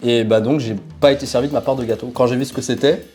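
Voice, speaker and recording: Young man, Portuguese native, relaxed chatty storyteller with a dark, mellow tone over faint music. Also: mid-range voice, fast talker. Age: young adult. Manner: relaxed, animated storytelling with frequent fillers. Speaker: interview guest. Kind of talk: casual conversational storytelling.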